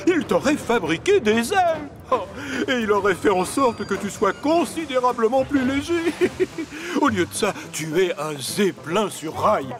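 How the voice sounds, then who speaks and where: A man's voice: very high-pitched, exaggerated voice. An actor playing a kindly, stout railway boss in a cartoon dub, chuckling and reassuring in a fatherly way.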